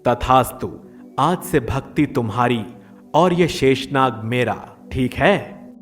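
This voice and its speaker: Guy playing a calm majestic god, formal and stately, medium pitch, light music behind. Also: slow pace. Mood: benevolent. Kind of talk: devotional story dramatization.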